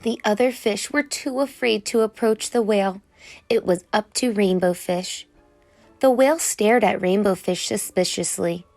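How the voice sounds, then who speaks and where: A woman reading a children's picture book aloud as an expressive storyteller. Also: mid-range voice, normal speed. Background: music.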